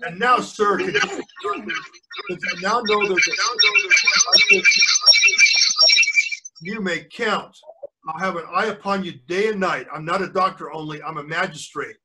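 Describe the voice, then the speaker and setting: A man voicing a calm, unafraid country doctor and magistrate from a storybook, speaking firmly and formally. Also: sits high, very noisy, full character voice. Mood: stern warning. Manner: firm, formal, measured.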